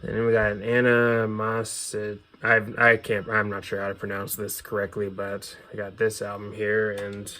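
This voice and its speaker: Flat, forceful man casually presenting a collection. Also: fairly low pitch, normal speed, few pauses. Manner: casual, opinionated fan talk. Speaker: host presenting their music collection. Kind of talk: casual show-and-tell commentary.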